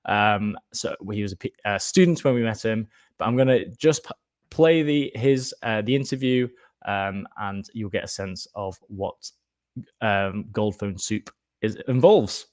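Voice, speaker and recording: A man's voice: lowish voice, unhurried, a little mellow. A man hosting an informal online presentation of an educational resource, speaking in an enthusiastic but hesitant, discursive way.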